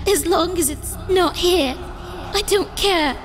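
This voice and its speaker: A woman's voice: very high-pitched, slow, in a large hall. A woman voicing a distressed young village girl in a manga dub, with hesitant, pleading delivery. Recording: music under the voice.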